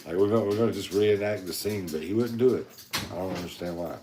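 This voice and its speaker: A man hosting a podcast, joking around in casual chat with a very deep voice. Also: fast talker, roomy sound, noisy background. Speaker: podcast host.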